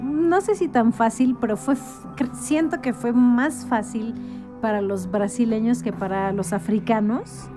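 A woman's voice: on the high side, measured pace, over music. A woman talking as a guest in a casual conversation, explaining things like an informal storyteller and often checking for agreement. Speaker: interview guest.

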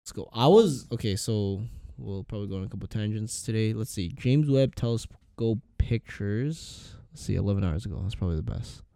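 A man's voice: on the low side, fairly quick, gravelly, few pauses, warm tone, no room sound, clean studio sound. A young man co-hosting a podcast in loose, rambling, jokey casual chat. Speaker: podcast co-host.

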